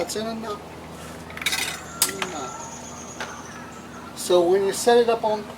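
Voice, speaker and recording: Guy doing a folksy how-to vlog in a van, kinda high voice, lots of background noise. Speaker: vlog host. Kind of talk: casual how-to demonstration, talking while working hands-on. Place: in and around a minivan.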